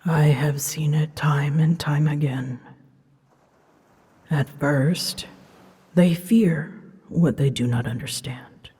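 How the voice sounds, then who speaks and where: A woman voicing a proud, scolding female dragon who rules seas and storms, imperious and theatrical with hushed, breathy phrases, in a scripted ASMR audio drama. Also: deep voice, fairly even intonation, takes it slowly, long pauses, long reverb tail, heavily acted. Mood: weary, warning.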